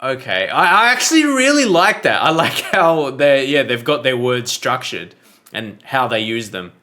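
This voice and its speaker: An Australian man reacts to a video in relaxed, hesitant chat full of fillers. His voice is bright and forward, and his pitch sits in the middle. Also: normal speed. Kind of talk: casual reaction commentary.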